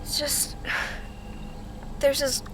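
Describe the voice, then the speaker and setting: A woman voicing a woman who leaves a hesitant, apologetic voicemail at a table read. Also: drawn-out words. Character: woman leaving an apologetic voicemail to her partner. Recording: noisy background.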